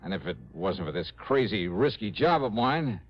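An American actor playing an easygoing, flustered freelance investigator in an old radio drama. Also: male voice, fairly low pitch, very animated, normal speed. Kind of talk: 1950s-60s American radio drama acting. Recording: dull old radio broadcast.

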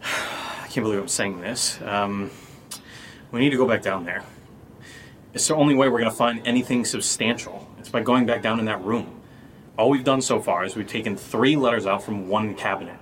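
A man's voice: fairly low pitch, normal speed, fairly bright tone, slight background noise, lightly acted. A man playing a sceptical, reluctant young housemate in a naturalistic horror audio drama, casual, questioning and exasperated. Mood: reluctant resolve.

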